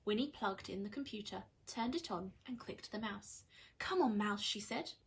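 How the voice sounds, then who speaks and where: A woman reading a children's story aloud like a primary school teacher, with expressive, dramatised narration. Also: mid-range voice, normal speed.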